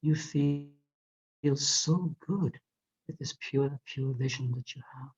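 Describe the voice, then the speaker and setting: A meditation guide leading a guided visualization in a soft, often whispered voice, speaking gently with soothing repetitions. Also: mid-range voice, breathy edge. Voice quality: soft, frequently whispered delivery. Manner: slow, gentle, drawn-out phrasing with soothing repetitions. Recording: dull lo-fi recording.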